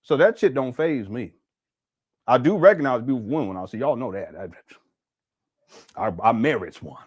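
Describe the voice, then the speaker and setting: A man's voice: mid-range voice, expressive, moderate pace, slightly rough. A man hosting a casual podcast, joking and laughing through loose, slangy banter.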